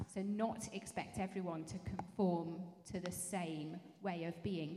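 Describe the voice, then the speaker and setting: Echoey, mellow-voiced woman. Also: mid-range voice. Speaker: church panel speaker answering audience questions. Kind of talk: spontaneous teaching answer in a question session. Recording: echoey hall with long reverb.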